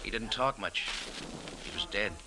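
Wry, hard-boiled American radio sleuth. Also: male voice, fairly low pitch, animated, a little slow, background noise. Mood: sly, needling. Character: tough, wry American bar owner turned amateur sleuth. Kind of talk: 1940s radio drama acting, hard-boiled first-person narration. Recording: old 1940s radio recording with music.

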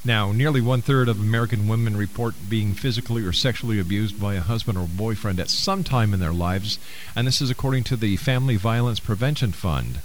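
A man hosting a live radio talk show, reading announcements in a somewhat halting way with pauses. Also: fairly low pitch. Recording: hissy, noisy radio broadcast recording.

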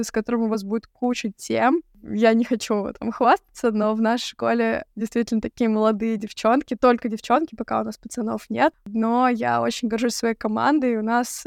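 A young woman talks in a chatty podcast style as an English teacher. Her fairly high voice carries a gravelly fry. The sound is dry and close. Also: darkish tone, no echo. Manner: chatty, mixes English words into speech. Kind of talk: casual advice podcast talk.

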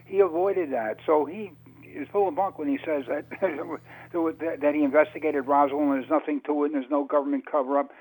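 A man speaking as a radio interview guest, telling his account in a halting, conversational way with repeated words. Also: a little high, fairly even intonation, darkish tone, poor audio. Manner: halting, hesitant phrasing with repetitions.

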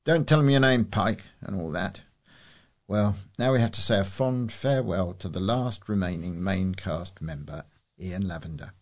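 Deep-voiced British man reading letters. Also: normal speed, a little mellow. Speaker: volunteer newsreader for a talking newspaper. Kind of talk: reading letters and editorials aloud.

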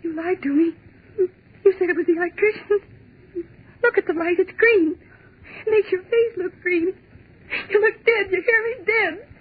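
An actress playing a terrified young woman trapped in a dark office, pleading and sobbing in 1940s radio drama style, on a tinny, scratchy old broadcast. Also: extremely high pitch, moderate pace, pauses often. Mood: hysterical.

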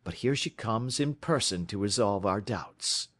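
A man reading aloud, voicing a sharp, self-assured detective who lectures with confidence. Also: fairly low pitch, animated, measured pace.

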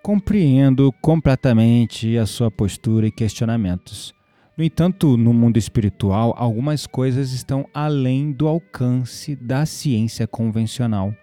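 A man with a Brazilian accent acting in an audio drama as a patient believer who explains spiritual matters in a conversational, gently teasing way. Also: mid-range voice, unhurried, no room sound, close to an everyday voice. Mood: calm, understanding. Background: music behind the voice.

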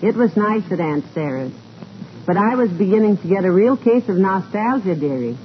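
Homey, drily teasing radio wife, played by a woman: animated vintage comedy delivery, muffled recording with clear noise. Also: mid-range voice, moderate pace, natural voice. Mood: relieved, weary. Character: good-natured, gently teasing middle-aged wife. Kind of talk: 1940s radio comedy acting.

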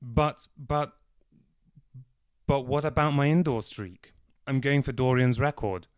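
A man voicing a scared, dim young flatmate who whines and stammers. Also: medium pitch, takes it slowly, clean tone, dry recording, studio quality, pitched above the performer's own voice, close to an everyday voice. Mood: whiny.

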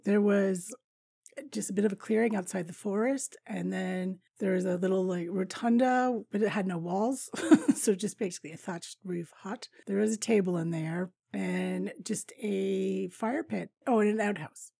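A woman in her fifties with a raspy voice, hosting a podcast and chatting casually through a travel story, laughing often. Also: mid-range voice, moderate pace, mellow, no echo, clean studio sound. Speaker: podcast host.

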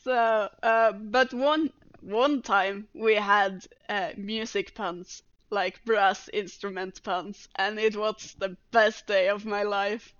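Slow, smooth-voiced woman, dull sound. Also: mid-range voice, steady tone, a bit bright, dry recording. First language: Norwegian. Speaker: guest artist. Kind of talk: casual chat.

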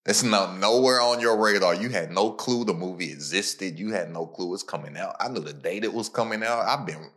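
A young man with a very low, deep voice hosts a laid-back podcast conversation. He tells stories in lively, slangy bursts, and now and then he speeds up. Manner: animated, slangy storytelling with quick bursts. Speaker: podcast host. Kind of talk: casual podcast chat.

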